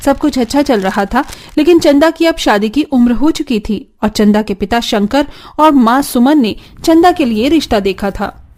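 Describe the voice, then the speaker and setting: Higher-pitched woman narrating a dramatic story. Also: expressive, moderate pace, darkish tone.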